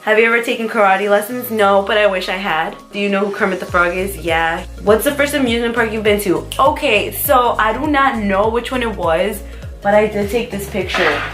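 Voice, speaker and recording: A young woman from the US talks through a casual vlog, rambling and giggling between questions. Her voice sits at an everyday middle pitch, with light music faintly playing underneath. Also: brisk, fairly bright tone. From United States. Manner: chatty, rambling, with fillers and giggles. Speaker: vlogger. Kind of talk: casual tag video chat.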